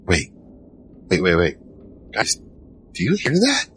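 Man playing a very deep-voiced giant troll narrator. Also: huge pitch swings, takes it slowly, a bit bright, a little hiss or hum, exaggerated voice. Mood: anxious. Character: giant troll, worried, soft-hearted first-person narrator. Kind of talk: audio drama narration and dialogue. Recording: music behind.

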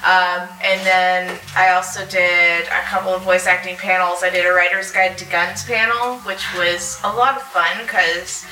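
A woman's voice: on the low side, little pitch movement, unhurried, few pauses, bright, forceful tone. A woman talking casually and informally as a panelist at a live convention. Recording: echoey, distant, noisy live room.

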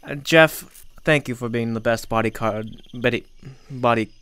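A man's voice: medium pitch, measured pace, a bit bright, smooth, lightly acted. A man playing a paranoid, petulant crowned ruler in a comic roleplay, stammering and repeating himself as he gives orders. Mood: flustered, bossy. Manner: stammering, bossy, repeats words when flustered. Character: petulant, paranoid male crowned ruler Bobby, bossy and flustered.

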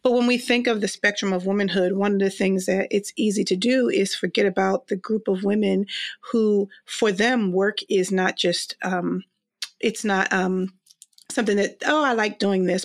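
Animated woman in casual chat. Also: medium pitch.

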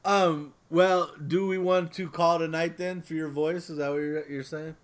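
A man's voice: a little high, steady tone, moderate pace. A man chatting casually with friends as a podcast co-host.

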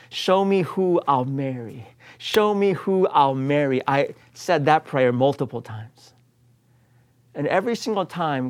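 A man in his late forties delivers a sermon at an unhurried, deliberate pace. His voice sits a little higher than most men's, and he presses hard on each point, circling back to the same refrain again and again. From United States. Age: 40s. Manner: earnest, emphatic, with repeated refrains. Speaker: pastor.

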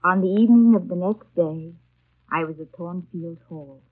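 An actress playing a grave, reserved young woman, narrating her life in measured 1930s radio drama style. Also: on the low side, background noise, lightly acted. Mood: measured, reflective. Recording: muffled vintage 1930s radio recording. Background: music.

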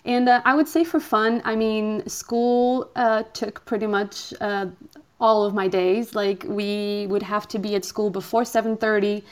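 A woman's voice: medium pitch, steady tone, a little slow, smooth. A woman in her twenties from Portugal, a native Portuguese speaker, talking as a podcast guest in a hesitant way, with many "um" fillers and easy laughter. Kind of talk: casual interview chat.

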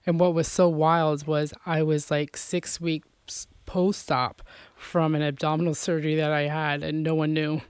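Woman from Puerto Rico, interview guest, chatty, flat delivery, smooth tone. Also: low voice, measured pace, no room sound. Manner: chatty, many fillers. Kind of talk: casual faith interview.

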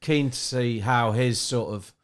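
A man of average pitch chats casually on a sports podcast panel. He has a slight lisp and rambles along in colloquial phrases. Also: unhurried. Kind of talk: casual banter and chat.

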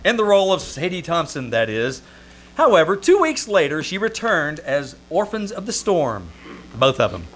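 Old radio comedy lead, a male actor: high-pitched, excitable, sardonic, big swings in intonation. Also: moderate pace. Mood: wry narration. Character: exasperated, wisecracking man pestered by a melodramatic actress.

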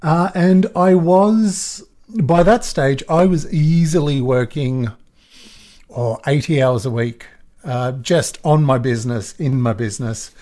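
A middle-aged man with an Australian accent and a croaky voice talks about his work. He is relaxed and chatty, often breaking into a laugh. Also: a little high, slow pace. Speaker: guest photographer. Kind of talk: casual podcast interview.

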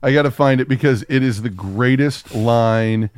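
A man's voice: mid-range voice, normal speed, smooth, dry recording. A man co-hosting a podcast in casual, joking chat, with a profane, hyperbolic way of talking. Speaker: podcast co-host.

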